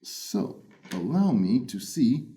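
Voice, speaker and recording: A loud, big-voiced man hosting a casual, playful unboxing of earphones. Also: mid-range voice, big pitch swings, takes it slowly, a little hiss or hum. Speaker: headphone reviewer. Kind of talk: casual unboxing first impressions.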